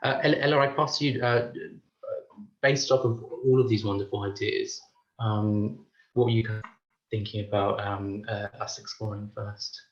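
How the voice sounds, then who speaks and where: A man moderating an academic discussion, speaking haltingly with many fillers and repeated words. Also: fairly low pitch, steady tone, reverberant, muffled recording.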